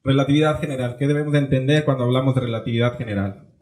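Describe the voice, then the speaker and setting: A man's voice: medium pitch, fairly even intonation, rapid-fire, a little mellow. Man co-hosting, roomy sound. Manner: rambling, self-correcting questions. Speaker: podcast co-host. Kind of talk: casual interview questions. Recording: roomy echoey room.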